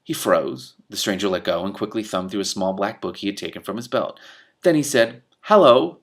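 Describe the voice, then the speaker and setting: A man hosting an expressive read-aloud of a novel, breaking off for casual, candid reactions. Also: deep voice, brisk. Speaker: host reading a novel aloud with commentary.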